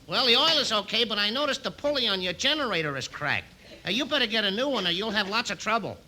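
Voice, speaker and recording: A noisy vintage radio comedy recording carries an eager small-town service station attendant. His voice is very high and bouncy, with a folksy, pushy manner. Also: big pitch swings, quick pace, pushed and bright, exaggerated voice. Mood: matter-of-fact. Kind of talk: 1950s radio comedy character acting.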